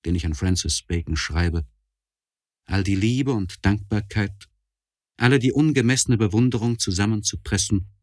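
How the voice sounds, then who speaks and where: An actor playing an anguished, courtly poet in a German radio play monologue from the 1960s, declaiming solemnly with measured, weighty phrasing. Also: male voice, on the low side, natural voice. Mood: grave, reverent. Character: anguished, courtly young poet writing a confessional letter. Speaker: actor reading a literary letter.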